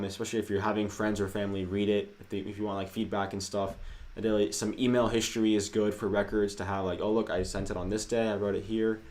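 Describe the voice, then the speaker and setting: A man co-hosting a casual livestream, talking through viewer questions in a loose, conversational way. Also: on the low side. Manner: conversational, many fillers, hedging asides. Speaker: co-host.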